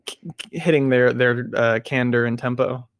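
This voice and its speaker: A man chatting on a podcast in a reflective, rambling manner, leaning on "like" and "uh" as he thinks aloud. His voice is mid-pitched and dry, recorded close with no room sound. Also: steady tone, normal speed, smooth, no room sound. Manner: musing, reflective, heavy on "like" and fillers. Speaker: podcast co-host, actor. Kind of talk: casual podcast chat.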